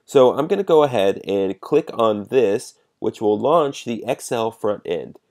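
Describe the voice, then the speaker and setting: A man giving a measured, explanatory step-by-step narration as a software demonstrator. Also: mid-range voice, animated.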